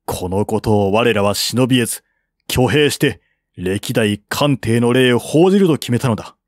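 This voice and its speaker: A man's voice: medium pitch, lively intonation, normal speed, no echo, natural voice. Loyal military adviser read by a man, stern, declarative, mid-range voice, dry recording, historical audiobook. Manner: firm, formal, declarative.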